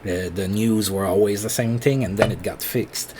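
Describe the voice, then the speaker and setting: A man with a French accent, thinking aloud in halting, casual commentary while playing a management game on his computer. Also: lowish voice, fairly even intonation, background noise. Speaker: let's play host.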